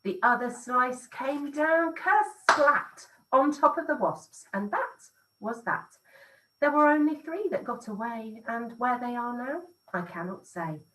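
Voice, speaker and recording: A woman teacher reading a rhyming picture book aloud in an expressive, sing-song storytelling way. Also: a little high, takes it slowly, far from the mic, poor audio.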